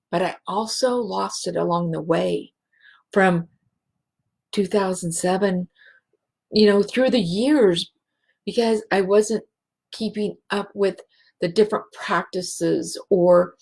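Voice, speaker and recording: A woman hosting a spiritual show, speaking in a halting, emotional way and close to tears. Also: on the low side, gravelly, a little mellow, husky. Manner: slow, halting and emotional, many restarts. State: teary. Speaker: show host, healing facilitator. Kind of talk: heartfelt spiritual show monologue.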